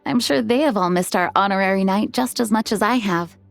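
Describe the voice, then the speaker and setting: A woman playing a dutiful, composed knight commander in a video game, speaking in a measured, formal, courteous way. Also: mid-range voice, creaky, close to an everyday voice. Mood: gracious. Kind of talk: video game dialogue and story narration. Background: music.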